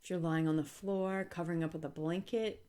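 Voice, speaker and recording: A woman leading a guided relaxation as a yoga instructor, speaking calmly and gently with deliberate pauses. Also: lowish voice.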